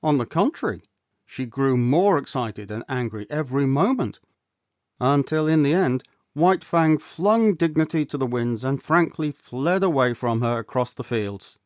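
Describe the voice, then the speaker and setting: A man reading a story aloud as an audiobook narrator, expressive and theatrical, with measured delivery. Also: medium pitch, no echo, clean studio sound. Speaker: audiobook reader, actor.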